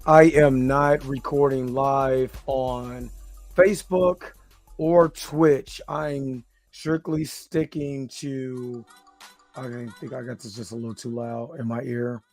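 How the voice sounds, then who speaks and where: A man with a slightly hoarse voice hosting a livestream, talking casually in an informal, rambling, hesitant way. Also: mid-range voice, flat delivery, slow pace, darkish tone, faint music behind. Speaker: host of a livestream reaction show.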